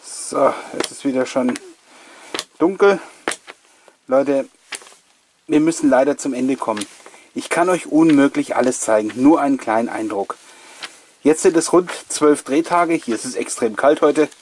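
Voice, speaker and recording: A man's voice: medium pitch, measured pace, long pauses, a little mellow, slight background noise. Male host, middle-aged, enthusiastic and chatty, addressing fellow collectors directly. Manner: effusive, addressing viewers directly. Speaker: host of a collectors' retro show. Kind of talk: enthusiastic chatty presenting to camera.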